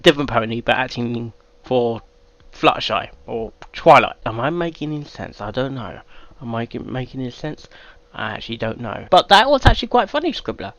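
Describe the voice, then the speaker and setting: A man hosting a casual reaction video, halting and often repeating phrases. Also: mid-range voice, a bit bright, no room sound.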